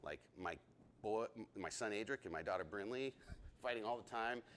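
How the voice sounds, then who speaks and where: A man in his thirties teaching a leadership lesson to a group, excitable and chatty, often asking the group questions. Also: lowish voice, normal speed. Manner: excitable, talks a lot, asks the group questions.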